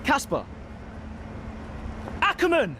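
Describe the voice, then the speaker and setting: A man's voice: very high-pitched, wildly swooping pitch, slow pace, heavily acted. A man playing a hot-headed, indignant young racing driver who is confrontational. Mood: furious. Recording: clear car and outdoor noise.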